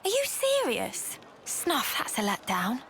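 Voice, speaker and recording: Outspoken young fighter for a game: high, very animated, casual, excitable. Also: female voice, slow, a little hiss or hum, heavily acted. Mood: let down. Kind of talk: video game cutscene acting.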